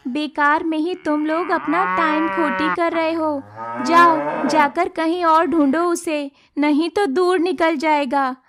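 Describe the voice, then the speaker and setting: A woman voicing a kind, brave young village woman in a cartoon story, earnest and expressive. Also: takes it slowly, a loud crowd. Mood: defiant. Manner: earnest, expressive, high-voiced. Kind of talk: Hindi cartoon moral story dialogue.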